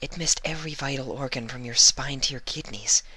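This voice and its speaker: A man voicing a shy, gentle young female pegasus nurse from a cartoon fan story, soft and breathy with hesitant, timid speech. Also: mid-range voice, brisk, voice pushed up, low-quality recording. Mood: gentle concern. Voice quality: soft, breathy, half-whispered.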